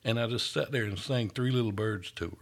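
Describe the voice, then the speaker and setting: A man's voice: mid-range voice, a little fast. A man who works as a paramedic, telling stories in an earnest, easygoing way during a casual chat. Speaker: paramedic, podcast host.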